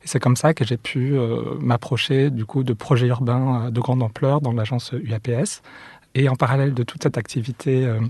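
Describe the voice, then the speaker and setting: A man, an architect, calmly explaining his studies and research in an interview, with frequent hesitations. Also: medium pitch, slightly rough, dry recording. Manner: measured, thoughtful, frequent fillers. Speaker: architect, office co-founder.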